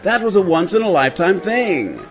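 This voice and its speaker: A man's voice: high voice, very animated, moderate pace, soft background music. A man playing a vain, scheming young adventurer in an audio drama, flippant and argumentative. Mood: cocky, persuasive.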